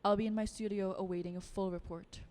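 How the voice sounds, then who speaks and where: A woman's voice: mid-range voice, fairly even intonation, fast, darkish tone, close to an everyday voice. Actress as a condescending, scheming vampire noblewoman. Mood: cold, commanding. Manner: condescending, drawling, teasing. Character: scheming, taunting female vampire aristocrat, condescending and amused. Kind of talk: audio drama acting.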